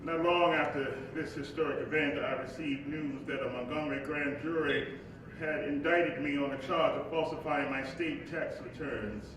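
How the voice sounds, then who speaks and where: An actor playing the spirit of Dr. King, an earnest, reflective preacher and father, in a live stage monologue with halting asides. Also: fairly even intonation, close to an everyday voice. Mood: proud, declaiming. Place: live stage before an audience. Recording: echoey hall, distant mic, noisy rumble.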